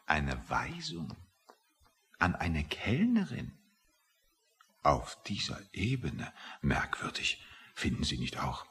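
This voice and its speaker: A man's voice: rumbling low, huge pitch swings, takes it slowly, pauses often, fairly bright tone, slightly rough, heavily acted. A man acting a skeptical, status-minded official in a radio play, speaking in a dry, condescending, musing way. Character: skeptical, status-minded male official waiting outside a meeting.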